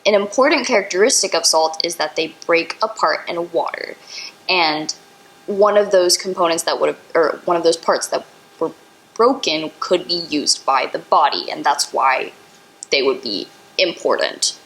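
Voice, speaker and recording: A young woman with a somewhat low voice co-hosts an educational podcast. She talks casually, with plenty of fillers, and walks the listener through science step by step. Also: expressive, normal speed, a bit bright, poor audio. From Indian heritage, Bengali. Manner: chatty, many fillers, explains step by step. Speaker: podcast co-host.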